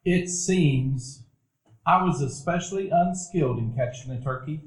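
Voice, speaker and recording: A man narrating a stage radio play as a grown man recalling his boyhood, in a measured, reflective telling. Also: on the high side, natural voice. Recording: roomy event hall.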